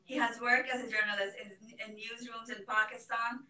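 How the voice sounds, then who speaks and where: A woman from Afghanistan giving a formal, partly read introduction as event host, speaking in a halting, hesitant way. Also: a little high, level tone, bright, forceful tone. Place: echoey room at a public event. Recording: echoey room, distant microphone.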